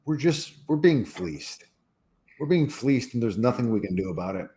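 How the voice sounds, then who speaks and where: A man's voice: mid-range voice, expressive, normal speed, warm tone. Earnest stammering man talking politics. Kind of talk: casual livestream discussion.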